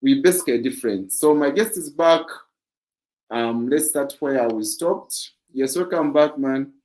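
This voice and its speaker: A deep-voiced man hosts a fitness livestream. His pitch is ordinary for a man, and he explains things plainly in a casual way, with the odd filler. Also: a little slow, darkish tone. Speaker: livestream host.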